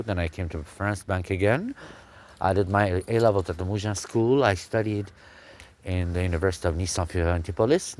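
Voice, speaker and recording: Man, 40s, deep voice, halting personal testimony. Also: slight background noise. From Kuwait. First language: Arabic. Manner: halting, with frequent pauses. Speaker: speaker telling his life story.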